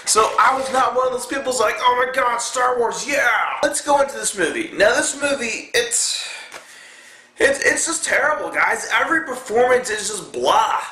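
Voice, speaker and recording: A man's voice: very high voice, lively intonation, normal speed, bright, forceful tone, reverberant, a little hiss or hum, low-quality recording. A man in his twenties giving a casual, profane movie review, blunt and exasperated. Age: 20s. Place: indoor room in front of a dark backdrop.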